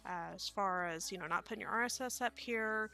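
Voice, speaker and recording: A woman's voice: medium pitch, brisk, vocal fry, bright, forceful tone, soft background music. A woman with a Midwestern accent, chatting casually and rambling with many fillers while streaming a game. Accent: Midwestern American. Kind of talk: casual live-stream commentary.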